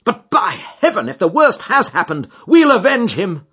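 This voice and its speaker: A man's voice: a little high, sing-song, normal speed, breathy edge, highs cut off, pitched above the performer's own voice. A man voicing a keen, commanding detective who speaks in formal Victorian phrases, emphatic and urgent, in an audiobook. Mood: anguished anger. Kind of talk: dramatised audiobook character dialogue.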